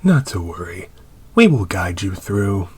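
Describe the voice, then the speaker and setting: A man playing a calm, reassuring spirit guide in an anime-style card battle roleplay, measured and solemn. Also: deep voice. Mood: calm, guiding.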